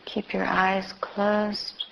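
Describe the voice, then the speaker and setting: A woman teacher leading a guided meditation with gentle instructions in short, spaced phrases. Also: medium pitch, completely flat delivery, drawn-out words, vocal fry, slight background noise. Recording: dull, lo-fi recording.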